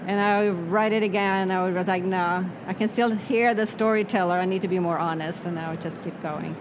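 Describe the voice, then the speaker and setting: A woman whose first language is Swedish, an author answering interview questions in a reflective way that often trails off softly. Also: on the low side, fairly even intonation, a little fast. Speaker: author guest. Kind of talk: interview conversation. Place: noisy spot near traffic. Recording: background traffic noise.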